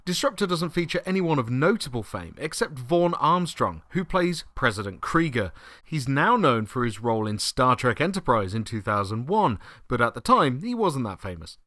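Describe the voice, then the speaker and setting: A man's voice: medium pitch, very animated, moderate pace, a bit bright, no room sound, studio quality. A man presenting a retro game review in scripted voice-over, conversational with lightly wry asides. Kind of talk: scripted narration over video. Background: music.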